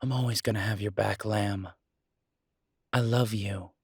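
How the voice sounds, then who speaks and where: A man playing a devoted, stubborn, protective werewolf detective in an intimate audio roleplay, speaking earnestly and insistently with emphatic pauses. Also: lowish voice, steady tone, a little mellow, slightly rough, no echo, clean studio sound. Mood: tender, resolute. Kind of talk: dramatic roleplay audio acting, intimate one-sided dialogue.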